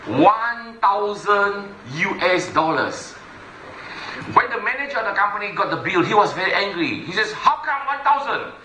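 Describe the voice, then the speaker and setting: A man's voice: sits high, lively intonation, moderate pace, sharp tone, reverberant, slight background noise, rough recording. A middle-aged man giving an emphatic stage presentation to an audience, repeating his key points. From Malaysia. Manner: emphatic, repetitive, addresses the audience directly. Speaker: motivational business speaker.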